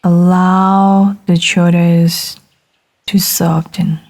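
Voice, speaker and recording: Female meditation guide, lowish and soft-toned, extremely slow delivery, gentle and soothing. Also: little pitch movement. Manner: gentle, soothing, unhurried with long pauses between short phrases.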